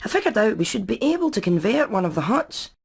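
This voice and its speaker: A woman voicing a practical, clever engineer hen in an animated game, methodical and matter-of-fact. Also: on the high side, fast talker, creaky, breathy edge, full character voice. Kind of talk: animated video game voice acting.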